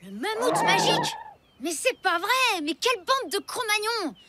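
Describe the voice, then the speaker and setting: A woman voicing an earnest, caring young prehistoric boy in a cartoon, with an expressive, emphatic delivery. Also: very high-pitched, a little slow, full character voice. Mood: indignant. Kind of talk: French cartoon dub acting.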